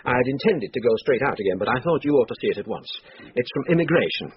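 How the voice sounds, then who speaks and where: An actor playing a dry, formal, deferential English police inspector with a husky voice in a radio drama. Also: male voice, mid-range voice, expressive, a little hiss or hum, lightly acted. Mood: deferential, businesslike. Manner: formal, measured, dryly precise. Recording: dull lo-fi radio recording.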